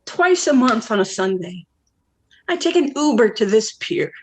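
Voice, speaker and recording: A woman's voice: fairly high pitch, big pitch swings, normal speed, a little hiss or hum. An actress playing a weary, worldly wife and mother in a stage play, wistful yet blunt. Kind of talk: dramatic monologue in a stage play.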